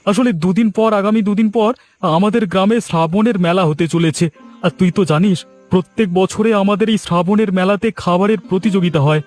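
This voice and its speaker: Casting: man as pesky younger village neighbour, comic cartoon style, high-pitched, fast, always needling. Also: a little mellow, faint music behind, natural voice. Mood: coaxing. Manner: needling, complaining. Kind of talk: cartoon voice acting in a village comedy.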